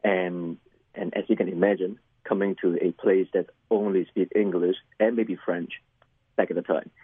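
A middle-aged man from Hong Kong whose first language is Cantonese, a pastor telling his life story in an interview, halting and repeating words with many fillers. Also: low-pitched, steady tone, slightly rough, dry recording, phone-quality sound. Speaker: pastor and chaplain, guest. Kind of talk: personal testimony interview.

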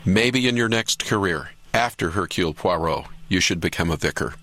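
A man's voice: deep voice, moderate pace, a bit bright. A man hosting a faith radio program, asking interview questions in a conversational way with frequent affirming murmurs. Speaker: radio host.

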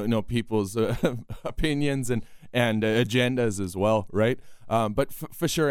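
A young man with a baritone voice, a country singer being interviewed, who talks with many restarts and keeps asking "right?". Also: clean tone, no echo, clean studio sound. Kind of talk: casual interview talk.

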